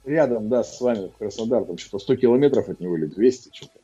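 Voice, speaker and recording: Mellow-voiced man rambling on a muffled mic. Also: medium pitch, lively intonation, fast talker, husky, soft background music. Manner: loose, rambling, swears freely. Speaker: stream co-host. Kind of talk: casual live-stream chat.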